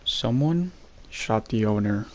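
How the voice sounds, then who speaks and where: Man acting a slow, grim outlaw. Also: fairly low pitch, lively intonation. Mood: grave, wary. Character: grim, suspicious male western outlaw.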